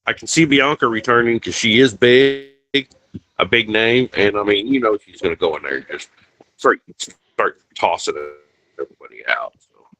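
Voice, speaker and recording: A man's voice: on the low side, expressive, moderate pace, bright, forceful tone, slight background noise, poor audio. A man co-hosting a casual live stream podcast, rambling with lots of restarts and "you know". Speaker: podcast co-host.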